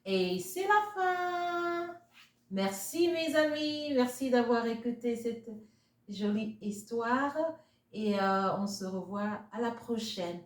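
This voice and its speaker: Slow, animated woman reading in an echoey room. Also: a little high. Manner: animated, addresses listeners as friends. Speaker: children's storybook reader. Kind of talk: expressive read-aloud for young children. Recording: roomy, echoey sound.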